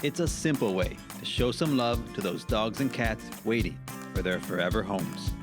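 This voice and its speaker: A man's voice: fairly low pitch, animated, moderate pace, music in the background. A man narrating a scripted TV news feature as a presenter.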